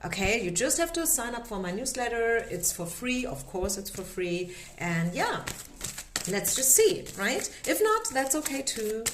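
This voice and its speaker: A woman with a German accent, a non-native English speaker, giving a tarot card reading in an unhurried, gently reassuring way with frequent filler words. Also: fairly high pitch, sing-song, reverberant, slight background noise.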